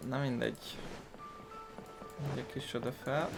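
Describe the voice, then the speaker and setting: A man's voice: mid-range voice, fairly even intonation, moderate pace, vocal fry, over music. A man from Hungary giving casual let's-play commentary as a gaming host, with informal mumbled asides.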